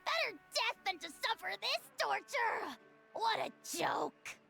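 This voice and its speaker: An actor voicing a tiny, excitable, over-dramatic creature sidekick in an animated game, with a squeaky childlike voice. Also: slow, creaky, faint music behind, heavily acted. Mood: dramatic dismay.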